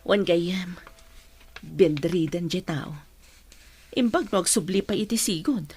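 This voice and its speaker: A woman's voice: lowish voice, slow, darkish tone, husky, heavily acted. An actress playing a worried, long-suffering mother in a radio drama, concerned and plain-spoken, with a Filipino accent. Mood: resigned. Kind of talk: Ilocano radio drama acting.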